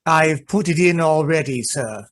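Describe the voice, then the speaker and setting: A man's voice: fairly high pitch, measured pace, a bit bright. A man playing a polite, hesitant and sly valet in a radio play. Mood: deferential, nervous. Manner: hesitant, polite servant's delivery. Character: deferential, hesitant, secretly treacherous male valet. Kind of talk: amateur radio play acting.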